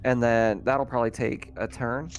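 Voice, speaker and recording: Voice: mid-range voice, fairly even intonation, normal speed, a little hiss or hum. A person casually running a tabletop roleplaying game as game master, easygoing and often chuckling.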